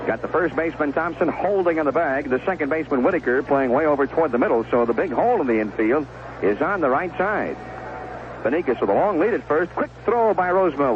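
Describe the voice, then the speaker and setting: A male baseball play-by-play announcer with a fairly high, very lively voice. He calls the action in clipped phrases and fills in the scene conversationally. The sound is a muffled 1970s radio broadcast. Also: fairly quick, a little hiss or hum. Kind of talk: live baseball play-by-play commentary. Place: stadium broadcast booth. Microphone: broadcast microphone with headset earphone monitoring.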